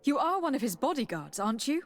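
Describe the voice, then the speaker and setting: A woman's voice: high voice, big pitch swings, exaggerated voice. Earnest noblewoman, music behind. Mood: questioning, insistent. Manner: formal, measured, probing. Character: earnest, determined noblewoman diplomat, protective of her cousin. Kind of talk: dramatic video game cutscene acting.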